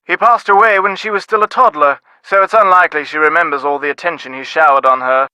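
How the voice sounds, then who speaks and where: A man's voice: a little high, fast, sharp tone, clear, resonant tone, no echo, poor audio. An actor playing a snobbish, dryly sardonic middle-aged British father from an aristocratic family in a video game, wordy and dismissive. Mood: wry, reflective. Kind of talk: scripted video game dialogue.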